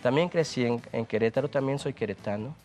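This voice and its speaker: Young male guest, Mexican accent, mid pitch, earnest, reflective, restarts often. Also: brisk, a little mellow, faint music behind. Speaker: interview guest, sculptor. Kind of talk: conversational TV interview.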